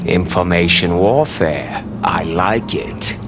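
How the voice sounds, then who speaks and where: An actor playing a cocky, wisecracking, juvenile mercenary in a video game, with a sarcastic, hammy delivery. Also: male voice, low-pitched, takes it slowly, loud music behind the voice. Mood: sarcastic, amused. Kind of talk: animated video game voice acting.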